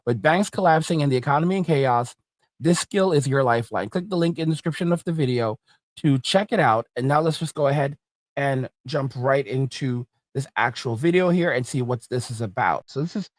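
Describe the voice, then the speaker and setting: A man's voice: mid-range voice, fairly quick, no room sound. A man hosting a reaction commentary channel, ranting in an indignant tone.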